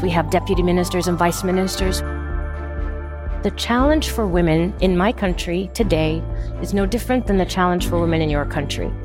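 A middle-aged woman from Saudi Arabia telling her story as an interview guest, candid and emphatic. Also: medium pitch.

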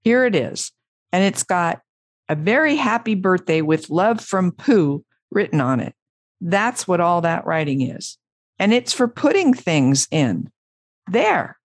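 A woman's voice: medium pitch, very animated, normal speed, creaky, hardly any pauses, darkish tone, slightly rough, pitched above the performer's own voice, full character voice. A woman voicing a cheerful, simple, kindly male bear from a children's storybook, eager and plain-spoken. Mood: proud, eager.

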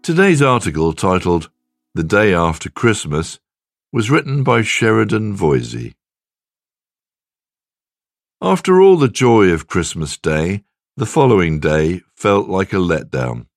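Male devotional reader, deep register, measured and reverent, dry recording. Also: very animated, dry recording. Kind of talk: scripted devotional reading with scripture and prayer.

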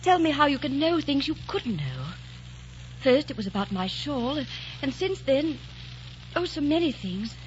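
A woman's voice: high-pitched, big pitch swings, normal speed, a little hiss or hum. An actress playing an adoring, dreamy young woman in a 1940s radio drama, breathless and pleading. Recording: dull lo-fi 1940s radio broadcast with faint music.

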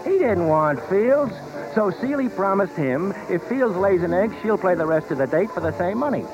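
An actor playing a gossipy, cynical stagehand in a muffled 1950s radio drama with music. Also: male voice, sits high, expressive, moderate pace. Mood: gossiping, sardonic.

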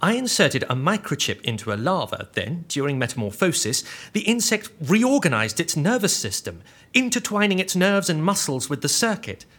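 An actor playing an anxious, earnest scientist on the run in an audio drama, explaining things in a precise, literal way with a husky voice. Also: male voice, on the high side, very animated, moderate pace, a bit bright. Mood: proud, explanatory.

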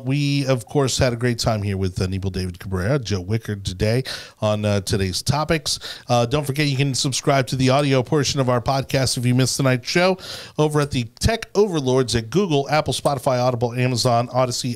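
A male podcast host talks in a relaxed, conversational way at a middle pitch. His speech is dotted with "uh" fillers as he runs through announcements and chats with his co-hosts. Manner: many "uh" fillers, plugging events. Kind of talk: casual political podcast chat.

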